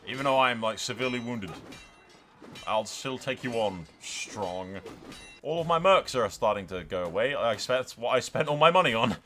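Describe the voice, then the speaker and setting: A man's voice: medium pitch, animated, normal speed, pushed and bright, a little hiss or hum. A man giving casual, blokey game commentary, swearing freely and addressing his viewers. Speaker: gaming video host.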